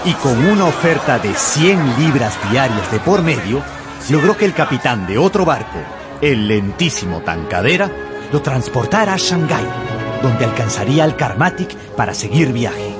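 A man's voice: medium pitch, sing-song, normal speed. A man narrating a dramatized story for radio in a theatrical storytelling manner. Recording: music behind the voice.

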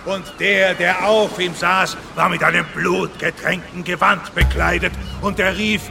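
A man's voice: high-pitched, steady tone, a little slow, creaky, music in the background. A man playing a fanatical preacher, solemn and declamatory, in a German radio drama. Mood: solemn zeal. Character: fanatical armed preacher proclaiming apocalyptic scripture.

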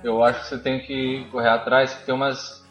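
A man's voice: mid-range voice, flat delivery, a little fast, a bit bright, highs cut off. A man with a Brazilian accent chatting casually as a podcast co-host, opinionated and slangy. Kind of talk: casual chat. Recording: roomy echo. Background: faint music.

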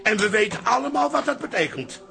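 A man's voice: very high-pitched, expressive, fairly quick, gravelly, pushed and bright, soft background music, exaggerated voice. An actor playing an indignant, paranoid old father who grumbles grandly in a radio comedy. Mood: suspicious. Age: older. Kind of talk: Dutch radio comedy drama acting.

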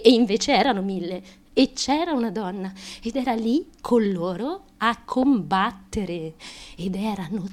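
A woman's voice: on the high side, darkish tone. An actress performing a theatrical monologue as a fragile, anxious, childlike young woman, stammering and repeating words as she confides feverishly. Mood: tender, nostalgic. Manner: halting, stammering, repeats words, nervous giggles. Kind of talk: theatrical stream-of-consciousness monologue.

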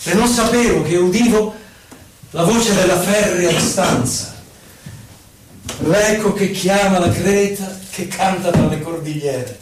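Guy doing dramatic poetry recital, solemn and declamatory, off mic w some noise behind. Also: sits high. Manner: theatrical, solemn, declamatory, with pauses between short phrases. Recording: echoey, distant, noisy live radio broadcast.

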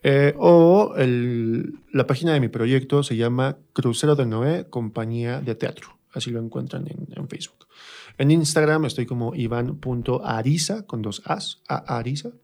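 A man with a central Mexican accent talking casually as an interview guest, animated and repeating phrases for emphasis. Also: medium pitch, moderate pace, gravelly. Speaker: radio guest, actor and theatre teacher.

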